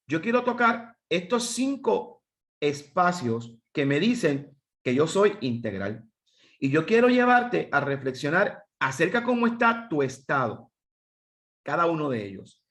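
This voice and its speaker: A man's voice: a little high, a bit bright. A man with a Puerto Rican accent, teaching a seminar for pastors, counting off points and putting questions to his listeners. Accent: Puerto Rican Spanish. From Puerto Rico. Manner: emphatic, lists points and asks the audience questions.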